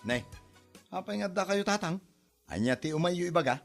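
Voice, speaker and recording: A man's voice: sits high, lively intonation, normal speed, creaky, faint music behind. A man playing an exasperated grown son in an Ilocano comedy drama, chiding and reasoning with his parents in an Ilocano Filipino accent. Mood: puzzled, concerned.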